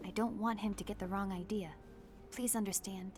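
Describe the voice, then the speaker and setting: A woman's voice: medium pitch, fairly even intonation, fairly quick, a little mellow, slight background noise, natural voice. A woman voicing a composed, earnest young leader in a fantasy video game, speaking formally and with careful measure. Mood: gently insistent. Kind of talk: dramatic video game cutscene dialogue. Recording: game audio with music behind.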